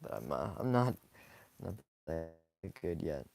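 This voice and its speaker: A hoarse young man talks casually while sketching live, rambling softly with many fillers and small laughs. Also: extremely low pitch, sing-song, a little slow. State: hoarse, scratchy from talking too much. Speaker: live-streaming sketch artist. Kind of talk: casual commentary while drawing.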